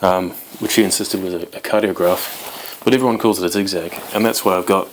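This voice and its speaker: A man's voice: deep voice, steady tone, fairly quick, slight background noise, poor audio. A man in his twenties, born in Wales, chatting casually to camera as host of his guitar channel, rambling with many ums. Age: 20s.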